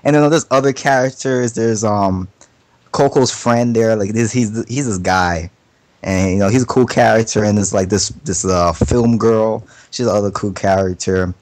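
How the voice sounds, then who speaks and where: A man's voice: lowish voice, darkish tone, no echo. Slangy man rambling casually, dry sound. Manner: slangy, profane, halting with frequent self-repetition. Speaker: anime podcast member. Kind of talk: casual chat, rambling opinion.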